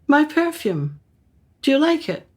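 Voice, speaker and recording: An actress performs a haughty woman painter in a radio play. She speaks slowly and archly, with theatrical, very lively swings of pitch. Every phrase carries cool condescension and self-regard. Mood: wistful.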